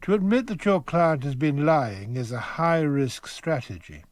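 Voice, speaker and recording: A man's voice: a little high, very animated, a little slow, dry recording, studio quality. A man narrating a true crime radio drama as a storyteller, in scripted present-tense prose. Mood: measured. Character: male storyteller introducing a true crime trial.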